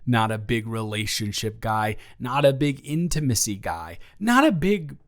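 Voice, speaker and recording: A man acts out a nervous young roommate in an intimate audio roleplay. His mid-pitched voice stammers and doubles back on itself, rambling with self-deprecating awkwardness. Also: very animated, a little slow.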